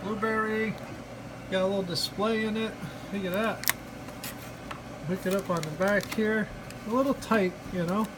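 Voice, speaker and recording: A man's voice: high-pitched, moderate pace, long pauses. A man giving a casual, joking product demonstration, talking in folksy slang and repeating himself with mock earnestness. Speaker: hobbyist video presenter. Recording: noisy recording with steady background hum.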